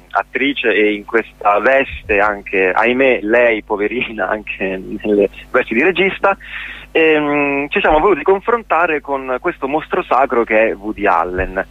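A man being interviewed as an actor on a radio programme. He explains things haltingly, reaching for his words, in an ordinary mid-pitched voice. The sound is very dry and full-band. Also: dry recording, narrow, tinny audio. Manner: hesitant, halting, searching for words.

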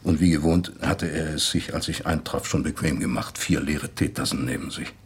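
A man playing a thoughtful acquaintance recalling a late friend in a measured, articulate radio drama monologue. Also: extremely low pitch, slightly rough, exaggerated voice. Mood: reminiscing.

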